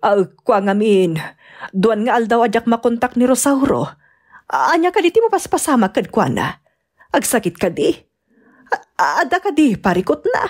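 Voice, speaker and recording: A woman's voice: on the high side, big pitch swings, normal speed, long pauses, darkish tone. A woman with an Ilocano accent playing an anxious, long-suffering betrayed wife and mother in a radio-style drama. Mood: worried, hesitant.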